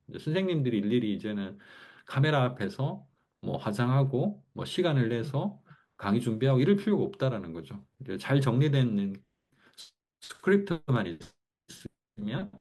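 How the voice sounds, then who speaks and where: A man's voice: mid-range voice, a little mellow, breathy edge. A man with a Gyeongsang accent giving a casual online class lecture, thinking aloud in a loose, conversational way with frequent fillers. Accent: Gyeongsang Korean. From Gyeongsang region, Korea.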